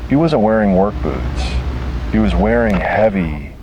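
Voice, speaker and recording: Male horror narrator, very low register, dramatic and deliberate, on a noisy found-tape recording. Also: expressive, dull audio. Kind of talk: scripted first-person horror narration.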